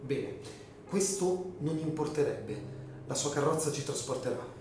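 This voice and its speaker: Man acting an earnest pleading gentleman, very echoey. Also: a little high, measured pace, a little hiss or hum, lightly acted. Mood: urgent, persuasive. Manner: emphatic, pleading, solemn. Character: earnest, insistent, desperately hopeful gentleman, the dead countess's brother. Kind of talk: melodramatic radio drama acting. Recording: very echoey room, music behind.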